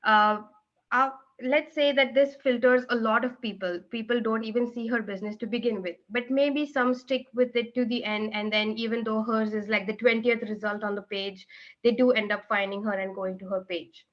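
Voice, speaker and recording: A woman's voice: on the high side, steady tone, moderate pace. A woman presenting a book chapter to an online group from her room at home, explaining steadily with many "uh" fillers. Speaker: presenter and event organizer. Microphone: video call. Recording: dull lo-fi video call audio.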